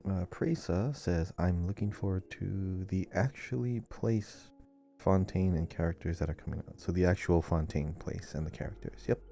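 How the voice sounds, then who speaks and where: A man's voice: low voice, normal speed, creaky, warm tone, faint music behind. A man co-hosting a casual gaming podcast, easygoing and conversational while reading out listener comments. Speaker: podcast co-host.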